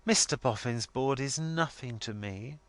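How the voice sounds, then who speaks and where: A man voicing an affectionate young woman in a storybook, speaking plainly and earnestly with gentle firmness. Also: mid-range voice, a little slow, dry recording. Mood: gentle protest. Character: affectionate, frank young woman, daughter of the family.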